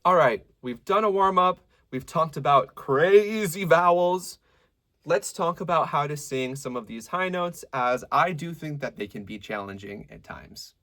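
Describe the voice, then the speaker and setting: A man with a medium-low voice teaching a singing lesson, explaining technique clearly and stopping to sing short examples. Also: unhurried, over loud music. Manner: lively, demonstrative teacher who breaks into sung examples. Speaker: vocal coach.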